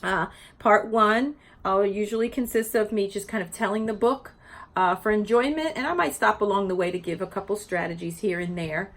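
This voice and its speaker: A woman's voice: mid-range voice, moderate pace. A woman teacher giving an informal, explanatory lesson built around reading a children's picture book aloud. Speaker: teacher presenting educational read-aloud videos for teachers.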